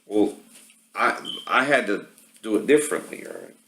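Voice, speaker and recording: A man's voice: fairly low pitch, lively intonation, normal speed, fairly bright tone, a little hiss or hum. A man giving a casual, rambling product walkthrough, often tagging his statements with a questioning "right?". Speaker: vlogger showing product assembly problems. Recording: roomy, echoey home-video sound.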